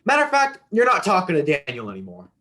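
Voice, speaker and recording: A man playing a protective, confrontational army veteran father in his thirties, blunt and forceful in a dramatic reading. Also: high voice, huge pitch swings, quick pace, exaggerated voice.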